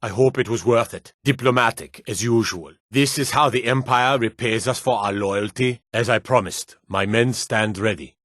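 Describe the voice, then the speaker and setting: A man voicing a stern, loyal guard commander in a fantasy video game, with a gruff, weighty, formal delivery. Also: mid-range voice, moderate pace, few pauses, fairly bright tone, no room sound, clean studio sound, lightly acted. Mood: measured, resolute. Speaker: voice actor for game guard lines.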